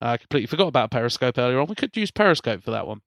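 A man's voice: medium pitch, very animated, very fast, creaky, a bit bright, no echo, studio quality. A man hosting a podcast in casual chat, rambling and often repeating words as he restarts his sentences. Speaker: podcast host.

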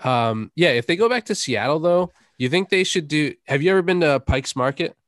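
A man's voice: on the high side, expressive, fairly quick, fairly bright tone, dry recording, studio quality. A young man co-hosting a sports podcast in casual, conversational talk. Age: young adult. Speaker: podcast co-host.

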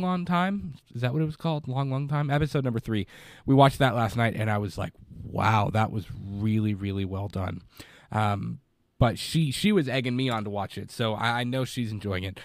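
A man's voice: lowish voice, no room sound. Congested man hosting a movie podcast. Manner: rambling, repeats words, many fillers. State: congested, stuffed up. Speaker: podcast host. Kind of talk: casual live podcast review. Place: basement.

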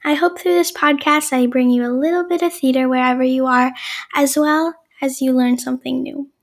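Kobieta prowadząca podcast mówi wysokim głosem z lekką chrypką i chrapliwym pogłosem na końcach zdań. Brzmi żywo i serdecznie, gdy wita słuchaczy i zadaje pytania. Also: female voice, sits high, steady tone, moderate pace. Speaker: podcast host. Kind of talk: podcast interview.

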